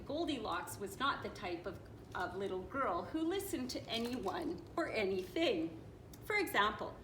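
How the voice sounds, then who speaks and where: A woman reading a children's picture book aloud expressively, with dramatic pauses and playful asides. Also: fairly high pitch, a bit bright. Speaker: storybook reader, teacher. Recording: roomy echo, clear background noise.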